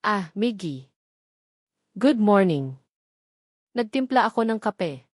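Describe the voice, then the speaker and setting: A woman's voice: mid-range voice, very animated, takes it slowly, dry recording, studio quality. A woman voicing a timid, shaky young mother. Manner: shaky, pleading.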